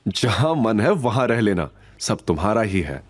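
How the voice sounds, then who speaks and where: A man's voice: lowish voice, big pitch swings, a little slow, slight background noise. A man playing a caring, protective young man, earnest and coaxing, in an audio drama. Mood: easygoing, caring.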